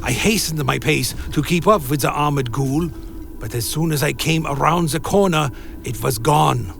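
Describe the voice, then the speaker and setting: A man playing an anxious vampire who recounts his own dark tale in a dramatic radio play. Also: on the high side, normal speed, vocal fry, faint music behind. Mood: tense, recounting. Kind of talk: first-person dramatic narration, radio play.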